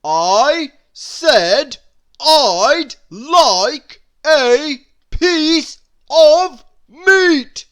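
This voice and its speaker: A woman voicing a very noisy cartoon man who bellows his words in a slow, booming shout. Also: expressive, exaggerated voice. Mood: loud, demanding.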